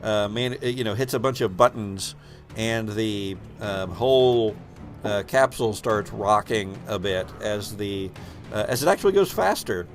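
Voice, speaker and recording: A man's voice: on the low side, measured pace, soft background music. A man narrating an improvised tabletop game as game master, thinking aloud as he goes.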